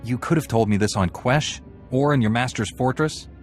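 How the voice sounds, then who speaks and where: An actor playing a hot-tempered, vengeful Jedi warrior with a blunt, assertive manner in a game. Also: male voice, mid-range voice, normal speed, soft background music, lightly acted. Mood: hostile, determined.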